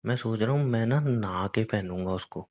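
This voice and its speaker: A man's voice: fairly low pitch, moderate pace, darkish tone, lightly acted. A young man playing a lazy, evasive boyfriend in an acted phone-call drama, making casual, whiny, defensive excuses. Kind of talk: acted phone-call conversation between a couple.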